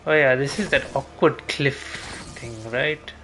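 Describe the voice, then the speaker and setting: A man's voice: medium pitch, fairly even intonation, measured pace, sharp tone, poor audio. A man giving casual gameplay commentary, thinking aloud as he mutters through each step to himself. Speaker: video game streamer. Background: game music.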